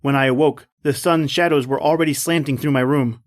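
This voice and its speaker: A man's voice: on the high side, steady tone, fairly quick, lightly acted. A man as a grave, wary hunter. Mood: tense, brooding. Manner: grave, earnest, dramatic. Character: principled male hunter, wary and appalled guest. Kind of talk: old-time radio drama acting with first-person narration.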